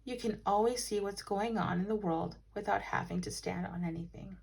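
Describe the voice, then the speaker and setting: A woman's voice: mid-range voice, steady tone, moderate pace, gravelly, a little mellow, breathy edge. A woman reader acts out a young boy bear from a storybook. He is polite and earnest, speaking up with plain, exclaiming sincerity. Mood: dreamy.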